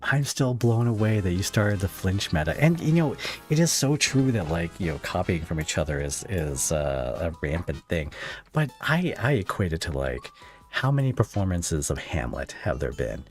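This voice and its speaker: A man with a low-pitched voice chats as a podcast interviewer. His delivery is casual and a little halting, yet his intonation moves up and down freely. Gentle music sits faintly in the background. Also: expressive. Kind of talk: casual conversation.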